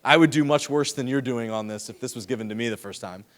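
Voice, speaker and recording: A man giving a lively, teasing lecture to students in a large hall, speaking into a handheld microphone. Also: mid-range voice, fast talker. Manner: lively, teasing, talks straight to the audience. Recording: long hall reverb.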